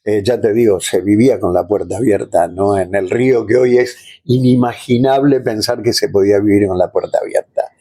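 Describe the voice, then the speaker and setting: An Argentine-accented man telling personal stories casually as an interview guest, pausing to find words and adding little check-in tags. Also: fairly low pitch, warm tone.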